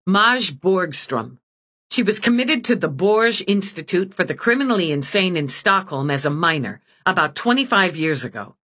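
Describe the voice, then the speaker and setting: A woman voices a tough police lieutenant in an audiobook, barking clipped orders with a slightly raised, forceful pitch. The husky voice swings lively between words. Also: normal speed. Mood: urgent. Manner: clipped, commanding. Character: hard-driving woman police lieutenant, curt.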